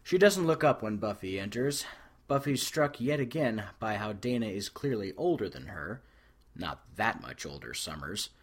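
Man narrating with very lively pitch. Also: slightly rough. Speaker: fan fiction reader. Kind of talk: expressive scripted story narration.

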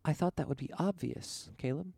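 A man's voice: a little high, normal speed, creaky, warm tone, breathy edge, no echo, clean studio sound, voice pushed up. A man voicing an ancient, seductive female-sounding evil spirit with a coaxing drawl in an audiobook story.

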